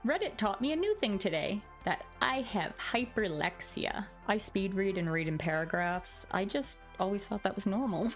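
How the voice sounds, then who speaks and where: A woman's voice: medium pitch, expressive, moderate pace, hardly any pauses. Woman in her 40s reading posts out all dramatic and sarcastic, bit of music under her. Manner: sarcastic, theatrical. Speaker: video host.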